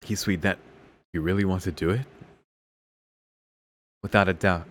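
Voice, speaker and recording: A man's voice: sits low, steady tone, normal speed, a bit bright, a little hiss or hum. A man reading a visual novel aloud in a casual, slangy, amused way, swearing and reacting off the cuff. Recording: music behind the voice.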